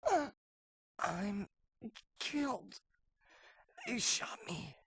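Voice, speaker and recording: Game role: wounded female agent, frightened, very husky and faint, slow broken delivery, fading. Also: mid-range voice, very animated, fairly bright tone, no room sound, full character voice. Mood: frightened, weak. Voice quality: breathy, faint. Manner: halting, broken phrases. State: weak and dying from a gunshot. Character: gravely wounded woman agent, frightened and clinging to life. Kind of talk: video game cutscene acting.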